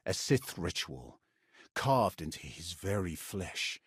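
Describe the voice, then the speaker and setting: An actor playing a grave, formal warrior in a video game, speaking with solemn deliberation. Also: male voice, deep voice, big pitch swings, takes it slowly, a bit bright, husky, no echo, studio quality, exaggerated voice. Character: grave, dutiful male Sith warrior ally, menacing and formal. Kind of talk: video game cutscene acting.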